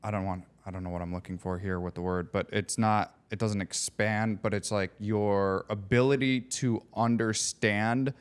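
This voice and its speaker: A man's voice: fairly low pitch, fairly even intonation, moderate pace, hardly any pauses, clear, resonant tone. A man co-hosting a podcast in casual chat, talking loosely and sometimes searching for words; Polish was his first language. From United States. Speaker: podcast co-host.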